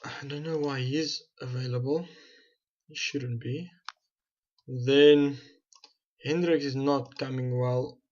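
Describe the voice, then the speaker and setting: A man's voice: medium pitch, fairly even intonation, slow, pauses often, darkish tone, dull audio. A young man with a South African accent, talking casually through his football management game as a gaming channel host and often correcting himself as he goes. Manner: thinking aloud, restarts and self-corrections. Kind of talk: casual gameplay commentary.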